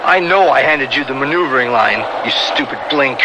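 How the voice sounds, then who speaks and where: A man's voice: expressive, fairly quick, natural voice. An actor playing a sulky, defensive, stubborn boy in a radio drama. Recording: noisy radio drama, vehicle-like rumble.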